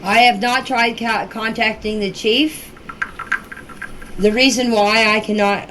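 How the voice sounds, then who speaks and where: An older woman giving an informal personal account in a deliberate, halting way, with short emphatic phrases, recorded as an amateur voice message. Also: medium pitch, slight background noise, poor audio. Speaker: relative recounting events. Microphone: voice clip recorded for group chat.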